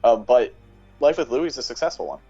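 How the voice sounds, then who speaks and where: A man's voice: medium pitch, animated, a little fast, gravelly, dark tone, breathy edge, faint music behind. A man speaking as a podcast guest in a casual, analytical discussion style. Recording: dull lo-fi mic sound.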